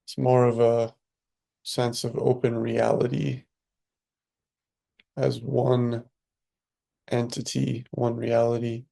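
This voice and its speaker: A man leading a guided meditation as a teacher, speaking calmly and unhurriedly with long pauses. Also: medium pitch, vocal fry.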